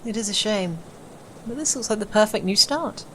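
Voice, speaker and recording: A woman's voice: mid-range voice, expressive, normal speed, bright, forceful tone, rough recording. A woman playing a hopeful refugee settler looking to a new start in an audio drama. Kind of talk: scripted audio drama acting. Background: faint ambient noise.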